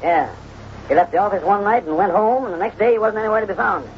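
An actor playing a nervous, helpful office clerk in an old radio western, flustered and hasty. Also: male voice, high voice, fast talker. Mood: anxious, apologetic. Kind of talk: 1930s-40s radio western acting. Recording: noisy, muffled old radio broadcast.

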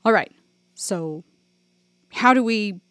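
A woman's voice: medium pitch, expressive, takes it slowly, fairly bright tone, no echo. A woman voicing a wry young male drama student. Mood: wary. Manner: dry, wry quips.

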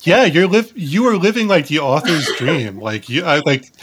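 A man hosting a casual interview, talking haltingly and repeating words as he gropes for phrasing. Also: on the high side, lively intonation, a bit bright, husky. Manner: halting, stammering word repetitions. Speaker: podcast host.

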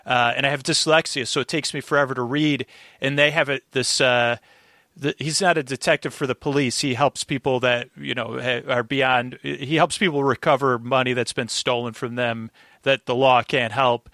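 A man chatting casually as a podcast guest, rambling and halting as he circles back over his words. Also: mid-range voice, fairly even intonation, sharp tone, dry recording, studio quality. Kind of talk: casual conversation, recapping an old radio show.

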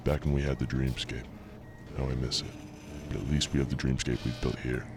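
An actor playing an obsessive visionary inventor and devoted husband in an audio drama, with a grave, measured delivery and a gravelly voice over a noisy recording. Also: male voice, a bass voice, flat delivery. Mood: proud. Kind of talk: audio drama acting, partly recorded log narration. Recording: noisy amateur podcast recording.

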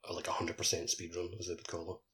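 A man's voice: deep voice, completely flat delivery, fast talker, fairly bright tone. A man co-hosting a podcast, talking casually about games in a rambling way peppered with "like". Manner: rambling, heavy use of "like". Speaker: podcast co-host. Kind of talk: casual gaming podcast chat.

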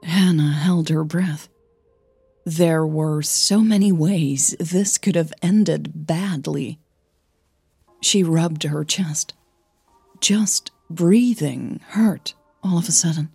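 Woman reading a drama story, slow and measured, low voice, sounds like a narrator. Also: gravelly, dark tone, slightly rough, dry recording, soft background music. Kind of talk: scripted audio fiction narration, with dialogue.

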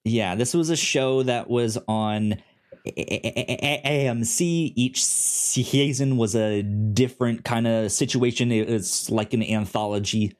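A man co-hosting a casual review podcast, talking informally and haltingly, often stuttering and repeating syllables. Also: medium pitch. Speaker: podcast co-host. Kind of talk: casual review show chat.

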